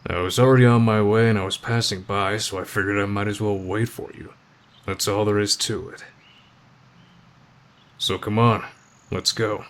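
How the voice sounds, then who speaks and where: A man acting in an ASMR roleplay as a gruff, aloof teenage delinquent, deadpan and terse but protective of a friend. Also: fairly low pitch, normal speed, long pauses, natural voice. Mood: gruffly caring.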